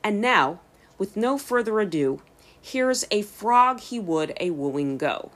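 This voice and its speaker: A woman with an American accent hosting a podcast, reading scripted narration in careful, clear phrases. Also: medium pitch, animated, slow, slight background noise. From United States. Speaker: podcast host and storyteller.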